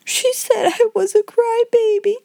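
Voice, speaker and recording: A woman's voice: very high-pitched, fairly even intonation, measured pace, vocal fry, warm tone, no echo, voice pushed up, exaggerated voice. A woman voicing a very young, stubborn little girl in a storybook, with a whimpering, sobbing manner. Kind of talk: storybook character voice in audiobook.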